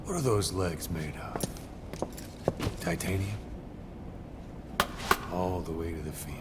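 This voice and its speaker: A man playing a stern, menacing military commander with a terse, deliberate delivery in cinematic game dialogue. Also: low-pitched, heavy background noise. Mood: cold, menacing.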